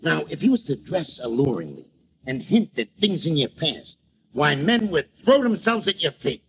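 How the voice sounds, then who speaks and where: An actor playing a brash, slangy, wisecracking manager sidekick in a 1940s radio comedy. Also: male voice, a little high, big pitch swings, normal speed. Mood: emphatic coaxing. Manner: slangy, uneducated, emphatic wisecracking. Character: brash, streetwise male manager and sidekick, advising his boss. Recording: muffled old radio recording with hiss.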